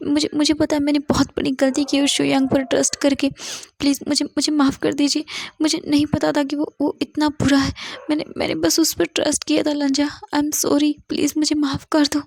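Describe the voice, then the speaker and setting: A woman's voice: fairly high pitch, fairly even intonation, normal speed, darkish tone, breathy edge, no echo. A woman doing a sobbing, stammering young man. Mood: pleading. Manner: stammering, repeats words. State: crying. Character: tearful young man, pleading and frightened.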